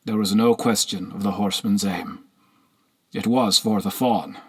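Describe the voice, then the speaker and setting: Guy narrating an audiobook, historical novel, husky voice, measured and solemn. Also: fairly low pitch, steady tone.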